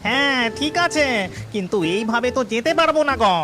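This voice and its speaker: A woman's voice: sits high, fairly quick, natural voice. A woman voicing a practical, tree-dwelling village ghost in a cartoon. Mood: decisive. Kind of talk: cartoon voice acting. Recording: music behind the voice.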